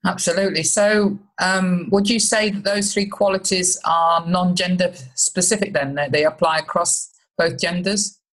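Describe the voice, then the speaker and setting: A woman's voice: fairly low pitch, fairly even intonation, normal speed, few pauses, a bit bright, rough recording. A woman hosting a relationship podcast, chatting in a friendly way with some restarts. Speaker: podcast host, relationship coach. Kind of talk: casual interview chat.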